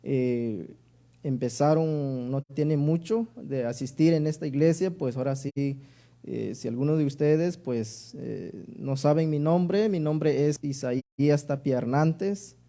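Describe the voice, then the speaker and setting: Male preacher, southern Mexican accent. High, mellow voice, deliberate delivery of sermon and scripture. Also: vocal fry. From Oaxaca, Mexico. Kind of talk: sermon with Bible reading.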